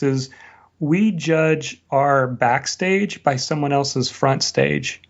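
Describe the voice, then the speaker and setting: A man's voice: a little high, muffled recording. A man answering as an interview guest, sharing reflective stories and advice in a conversational way. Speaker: interview guest, blogger and online business teacher.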